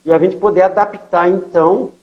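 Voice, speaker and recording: An older man with a São Paulo accent tells his missionary stories in a relaxed, conversational way, dropping in filler words and checking in with his listener. His voice sits a little high for a man, and the recording sounds dull and lo-fi. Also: moderate pace. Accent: São Paulo Portuguese. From São Paulo, Brazil. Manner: storytelling, many fillers and tag questions. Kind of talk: conversational testimony interview.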